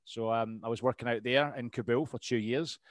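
A man's voice: medium pitch, fairly even intonation, brisk, no echo. Scottish guy in his 30s rambling thru his story on a podcast, lots of ums, dry close mic. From Scotland. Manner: rambling, lots of ums and restarts, laughs easily. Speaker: podcast guest, RAF veteran. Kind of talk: casual interview chat.

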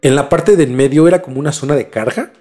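A man with a Mexican accent in a casual, colloquial podcast conversation, explaining a story in a halting, conversational way. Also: fairly high pitch, mellow. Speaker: podcast host telling a case. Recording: music under the voice. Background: background music.

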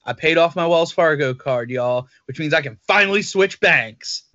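A man co-hosting a podcast talks in loose, rambling banter, mocking himself as he goes. His voice is bright and a touch high, and it sounds dry and slightly dull, recorded close on a lo-fi mic. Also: lively intonation, moderate pace, dry recording. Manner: joking, rambling, self-mocking. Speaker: podcast co-host. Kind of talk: casual banter.

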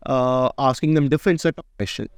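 A man's voice: mid-range voice, fairly quick, dry recording, studio quality. A man, a startup founder, answering interview questions in a casual, emphatic way and often asking "right?". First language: not Indonesian. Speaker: startup founder and CEO, guest.

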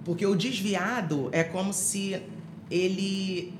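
A woman's voice: medium pitch, fairly even intonation, slow, background noise. A woman from Rio de Janeiro, speaking, giving her personal testimony as a podcast guest with many fillers and restarts. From Rio de Janeiro, Brazil. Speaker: interview guest. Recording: echoey room with music behind.

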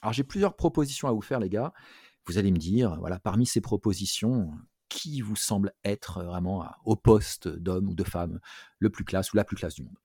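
A man's voice: lowish voice, moderate pace, mellow, breathy edge, no echo, clean studio sound. A man from France hosting a culture show in a casual, chatty, jokey way, often repeating himself.

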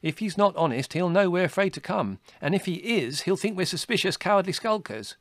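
A man playing a dashing, high-voiced young rabbit. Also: animated, fairly quick, no echo, clean studio sound. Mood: fiery.

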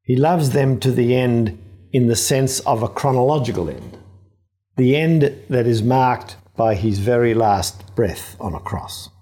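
A man, a priest, giving a measured, reflective commentary on scripture, with phrases that trail off quietly. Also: mid-range voice.

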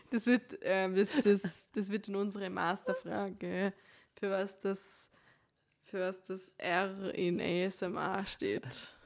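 Brief: young woman, casual podcast co-host, giggly and rambling, mid pitch, mixes dialect and standard speech. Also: steady tone, slow pace. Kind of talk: casual chat.

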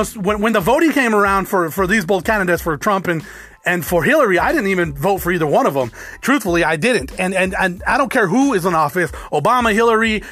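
A man rants to his viewers at a quick clip, his voice pitched fairly high for a man. He swears freely, restarts his sentences and circles back on the same points. The sound is close and dry, with no room around it. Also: fairly bright tone, no echo. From United States. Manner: rambling, profane, repeats himself. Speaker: vlogger. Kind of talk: casual rant to camera.

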